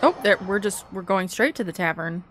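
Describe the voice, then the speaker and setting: Animated young woman doing casual game commentary. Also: mid-range voice, brisk. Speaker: gaming video host. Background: game music.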